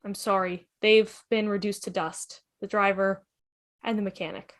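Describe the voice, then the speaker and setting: A woman playing a quick-thinking, reassuring time-travelling adventurer in a fan table read of a TV drama. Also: mid-range voice, level tone, lightly acted. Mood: apologetic, reassuring. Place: online video call.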